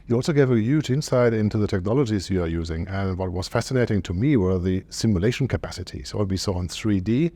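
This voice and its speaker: Man, fifties, interviewer, lowish pitch, dry close recording, on-site conference style. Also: animated, fast, hardly any pauses, dark tone, no echo. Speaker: interviewer, host. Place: medium conference room, indoors.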